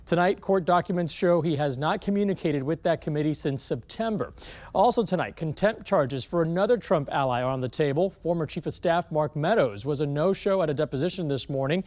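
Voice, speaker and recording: A man's voice: a little high, fairly quick, a little mellow. An American man reading scripted news as an anchor. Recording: music bed under the voice.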